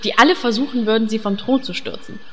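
A woman's voice: fairly high pitch, quick pace, in a large hall, a little hiss or hum, natural voice. A woman voicing a bossy female elf who leads the group, speaking in a commanding, exasperated way.

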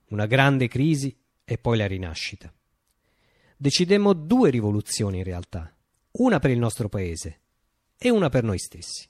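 An actor playing a visionary revolutionary who recounts his utopia in a declamatory radio theatre monologue, with deliberate pauses and emphasis. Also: mid-range voice, dry recording, studio quality. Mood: solemn, proud.

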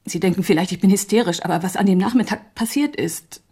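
An actress playing a grieving, doubting woman in a radio drama, speaking haltingly with a breathy, husky voice. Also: medium pitch. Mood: troubled, confiding. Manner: hesitant, halting, hushed. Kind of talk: naturalistic radio drama acting.

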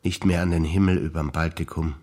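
An older man, a stage actor reciting memories in a rhythmic, deliberate listing manner with pauses. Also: a bass voice, fairly even intonation, a little mellow.

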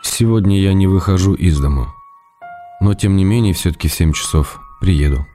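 A man's voice: deep voice, normal speed, over music, lightly acted. An actor playing an ironic, tender male writer who reads his letters with playful mock-drama. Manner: teasing mock-dramatic irony. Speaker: letter reader. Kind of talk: acted reading of letters in a radio play.

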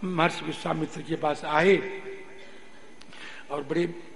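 A man preaching a devotional discourse in an animated, exhorting way, acting out dialogue and chanting verses in a drawn-out style. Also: a little high, gravelly. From northern India. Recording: long reverberant hall sound.

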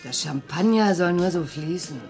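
A mercenary, teasing bar girl in an old radio crime drama. She talks in a slangy, mocking drawl, fairly low and very animated, with music playing behind her. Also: normal speed. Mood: gossiping, scornful. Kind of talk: German radio crime play acting.

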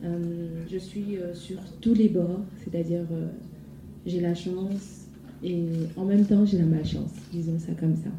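Woman in her 20s telling her story, flat low-ish pitch, echoey muffled recording w some noise. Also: unhurried. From Ivory Coast. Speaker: speaker giving personal testimony. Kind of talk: spontaneous public testimony. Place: echoey room at a public event. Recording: muffled, noisy, echoey room.

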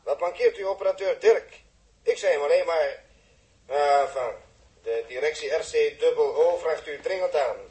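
A man's voice: fairly high pitch, moderate pace, a little mellow, rough recording. A man acting a formal control official speaking over a radio link in a 1960s Dutch radio drama. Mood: formal, urgent.

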